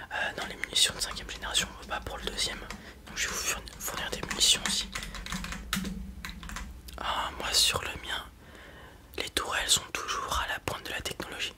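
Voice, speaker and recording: Whispering man as a casual space robot merchant. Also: close to an everyday voice. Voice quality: breathy whisper. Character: gruff, casual male space robot merchant, wary but kind. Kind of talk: whispered ASMR roleplay.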